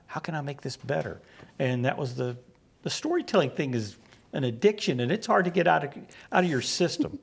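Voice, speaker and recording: Guest, man in his 60s, West Virginian accent, gravelly, easygoing storyteller, lapel mic. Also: fairly high pitch. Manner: rambling, anecdotal, acts out quoted exchanges. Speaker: guest, comic book writer. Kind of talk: relaxed storytelling interview. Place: small studio set.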